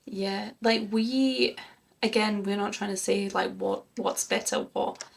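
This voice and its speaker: A woman's voice: medium pitch, steady tone, measured pace, vocal fry, slightly rough. A woman who grew up in Germany, co-hosting a music reaction chat and thinking aloud in an earnest, casual way. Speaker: co-host of a music reaction channel.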